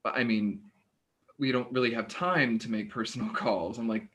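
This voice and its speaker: An actor playing an awkward, polite young hospital worker who hesitates and stammers in a naturalistic play reading. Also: male voice, mid-range voice, normal speed, a bit bright, close to an everyday voice. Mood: uneasy, evasive. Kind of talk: naturalistic stage dialogue reading.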